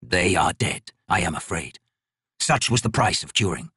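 A man voicing an ancient, sickly male god, speaking slowly and gravely with a sneer. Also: low voice, expressive, bright, forceful tone, dry recording, studio quality, pitched below the performer's own voice, exaggerated voice. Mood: cruel.

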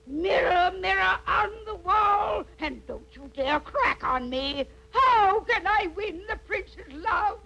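Shrill theatrical witch, very high. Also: expressive, gravelly, pushed and bright, full character voice. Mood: dramatic. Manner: theatrical, cackling, drawn-out delivery. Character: ugly scheming female witch, gleefully wicked, lovestruck. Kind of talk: cartoon voice acting. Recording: noisy, hissy old cartoon soundtrack.

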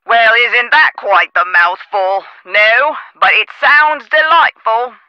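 A woman's voice: on the high side, takes it slowly, dry recording, highs cut off. An actress playing a chatty, officious female office manager in a video game, heard over a telephone. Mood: confiding, friendly. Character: chatty, prim female office manager on the phone, policy-proud.

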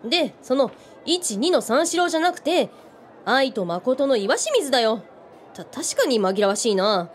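A tough, bookish woman voiced by an actress holds forth in a comic radio drama. Her fairly high voice swings freely, with blunt, teasing, rough wording. Faint background noise sits behind her. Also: very animated, a little slow.

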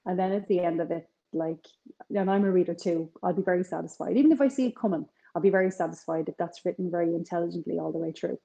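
A woman's voice: lowish voice, creaky, poor audio. A woman in her forties with a North Side Dublin accent, a crime author chatting over a video call from her kitchen. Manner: chatty, animated, quick asides. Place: home kitchen with shelves.

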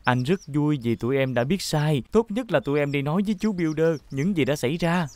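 A woman voicing a calm, kind heroic rescue car in a Vietnamese cartoon dub, speaking with patient, earnest reassurance. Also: sits low, brisk. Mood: patient, firm.

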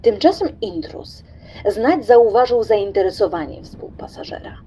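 A woman's voice: mid-range voice, lively intonation, measured pace, warm tone, slight background noise. A woman narrating a radio play from a script, as a storyteller.

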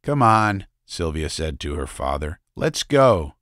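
Man voicing a brisk proud young woman. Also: low-pitched, very animated, fairly bright tone, no room sound, clean studio sound, heavily acted. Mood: urging. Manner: brisk, peevish.

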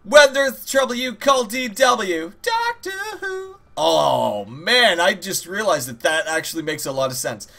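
A man's voice: high-pitched, very animated, unhurried, vocal fry, pushed and bright. A man giving casual gameplay commentary as host, rambling and joking with plenty of swearing and mock-dramatic asides. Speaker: gaming video host. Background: game music.

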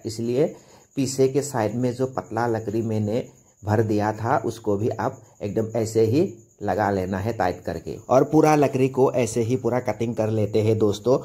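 A man's voice: on the low side, warm tone. Young man explaining, step by step. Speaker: carpenter demonstrating on own channel. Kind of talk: informal how-to narration.

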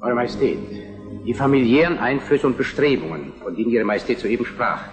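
An actor playing a shrewd, controlled Prussian prime minister, deferential and measured, in a German historical radio drama. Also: male voice, mid-range voice, lively intonation, music in the background, close to an everyday voice. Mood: formal, urgent. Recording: radio play in echoing hall.

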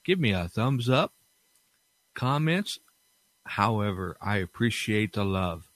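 Low-voiced man hosting a podcast. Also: animated, takes it slowly, fairly bright tone, dry recording. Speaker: podcast host of old-time radio shows. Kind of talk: casual, sincere podcast sign-off.

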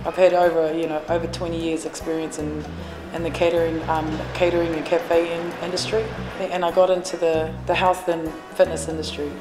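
A woman's voice: fairly low pitch, little pitch movement, fairly quick. A woman in her forties who runs a café and trains fitness classes, answering interview questions earnestly with many fillers and restarts. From New Zealand. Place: café, indoors. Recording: echoey room with long reverb and music behind.

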